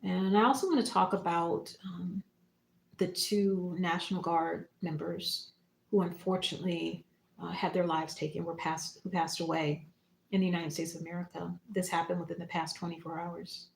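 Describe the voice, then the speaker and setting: A woman hosting a spiritual livestream, talking casually and reflectively in short phrases and gentle prompts with pauses. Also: on the low side, steady tone, vocal fry. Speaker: psychic medium and spiritual teacher hosting a livestream. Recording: roomy, echoing room sound.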